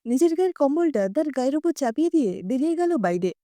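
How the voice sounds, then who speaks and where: A woman narrating a scripted short story in an expressive storytelling style. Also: high voice, fast talker, no room sound, studio quality.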